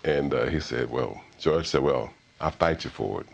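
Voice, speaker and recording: A man's voice: very deep voice, normal speed, vocal fry. A middle-aged man with an American accent reminiscing in a sit-down interview.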